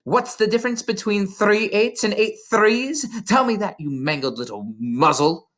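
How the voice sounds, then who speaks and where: A reader voicing a huge, bullying female headmistress who barks insults and shouts. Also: medium pitch, sing-song, moderate pace, voice pushed up.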